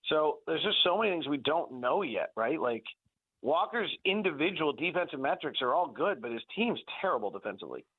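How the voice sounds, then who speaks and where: A man with a slightly high voice analyzes basketball on a sports radio show. He sounds like he is on a narrow phone connection with no room sound. His delivery is conversational and analytical, with words repeated for emphasis. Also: sing-song, brisk, husky, dry recording. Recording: thin phone-like band-limited line.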